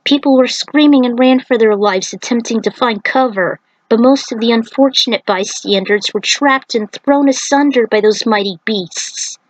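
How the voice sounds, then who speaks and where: Woman, story narration, medium pitch, dry recording, occasional reading stumbles. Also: normal speed, hardly any pauses, no echo, rough recording. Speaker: narrator reading own fan fiction.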